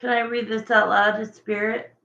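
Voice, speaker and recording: A woman with a raspy voice doing a casual livestream tarot reading, chatting and thinking aloud between viewer questions. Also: fairly high pitch, little pitch movement, moderate pace, sharp tone. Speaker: tarot reader. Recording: echoey, dull lo-fi room sound.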